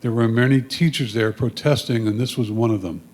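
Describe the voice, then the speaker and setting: A man's voice: mid-range voice, fairly even intonation, a little fast, a little mellow. A man with a mid-range voice reads out descriptions of photographs. His delivery is plain and matter-of-fact, like someone presenting captions to a club. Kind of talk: read-aloud photo descriptions.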